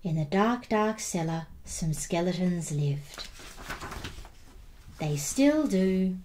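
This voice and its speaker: A woman reading a children's picture book aloud as storyteller, expressively and with deliberate emphasis on repeated words. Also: lowish voice, lively intonation, dark tone, a little hiss or hum.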